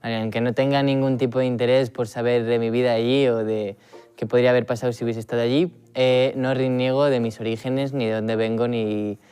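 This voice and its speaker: A man's voice: medium pitch, flat delivery, a little fast, mellow, smooth, faint music behind. A man adopted from Russia speaking thoughtfully and a little hesitantly in a documentary interview.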